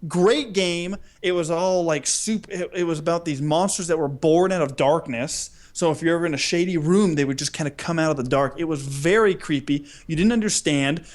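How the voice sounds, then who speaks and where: A man co-hosting a podcast, telling stories in a casual, humorous, animated and rambling way. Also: fairly high pitch, moderate pace, hardly any pauses. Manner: animated, rambling, acts out imagined dialogue. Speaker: podcast co-host. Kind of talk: casual humorous chat, storytelling.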